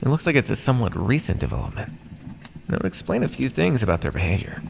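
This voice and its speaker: A man playing a sardonic vampire captor in an ASMR roleplay, speaking conversationally with hesitant pauses. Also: medium pitch, big pitch swings, gravelly. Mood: defensive, exasperated. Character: teasing, menacing male vampire captor, centuries old scientist. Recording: long hall reverb, faint background noise.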